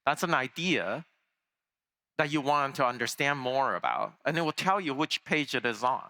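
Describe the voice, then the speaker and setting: A man's voice: medium pitch, very animated, moderate pace, bright, forceful tone. A man from Taiwan giving a conference keynote in a conversational way, with fillers and restarts. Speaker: keynote speaker. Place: large conference hall.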